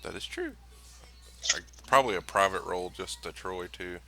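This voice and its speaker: A man's voice: deep voice, animated, normal speed, bright, forceful tone. A man chatting casually as the game master of a tabletop role-playing session. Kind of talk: casual chat.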